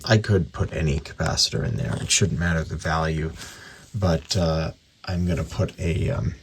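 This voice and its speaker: A man's voice: low-pitched, a bit bright. A man casually narrating hands-on repair work and thinking aloud in an offhand, matter-of-fact way. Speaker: radio repair hobbyist and video host. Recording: clearly noisy, with clicks.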